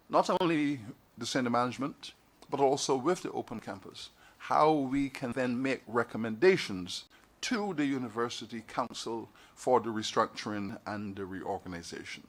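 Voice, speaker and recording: A man from Barbados giving a formal speech to a council meeting, measured and oratorical, building his points with repeated phrases. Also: medium pitch. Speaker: university leader giving an address. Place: meeting hall.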